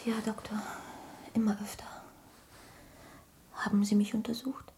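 An actress playing a troubled, quietly desperate English wife in a German radio drama, with hushed, searching questions. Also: female voice, mid-range voice, level tone, a little slow, vocal fry, slightly rough, exaggerated voice. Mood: frail, fearful.

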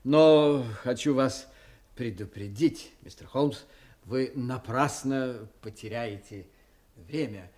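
An actor playing a self-satisfied, sceptical police inspector in an audio drama, argumentative and condescending. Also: male voice, mid-range voice, slow pace, a little mellow. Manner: condescending, argumentative, hesitant fillers. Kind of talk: Russian audio drama acting.

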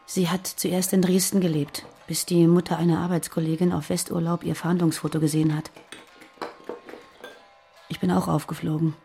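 A woman's voice: fairly low pitch, flat delivery, moderate pace, vocal fry, dark tone, soft background music. An actress playing a guarded, earnest woman on the run in a naturalistic radio play. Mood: matter-of-fact. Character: guarded, frightened fugitive terrorist woman living under false names. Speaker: radio drama actor.

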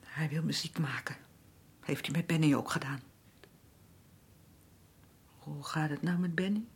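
An actress playing a weary, aggrieved working-class wife in a radio drama, middle-aged, with a colloquial, complaining way of talking. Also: female voice, sits low, a little slow, slightly rough, exaggerated voice. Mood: matter-of-fact. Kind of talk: naturalistic radio drama acting.

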